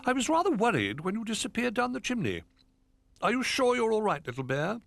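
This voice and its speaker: A man voicing a kindly female owl who speaks gently, like a caring mother. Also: sits high, very animated, fairly quick, creaky, dry recording, voice pushed up, full character voice. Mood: concerned.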